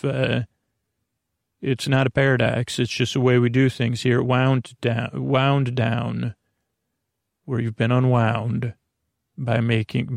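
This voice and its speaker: A man hosting a bedtime podcast in a gravelly voice, rambling in a halting, meandering way, repeating words and circling back after losing the thread. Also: medium pitch, dry recording. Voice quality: gravelly, creaky voice. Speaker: podcast host.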